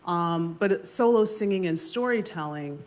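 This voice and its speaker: Soft-spoken female moderator, lower voice, measured delivery with pauses, at a live library event. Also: lively intonation. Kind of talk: panel discussion opening talk. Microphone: handheld mic.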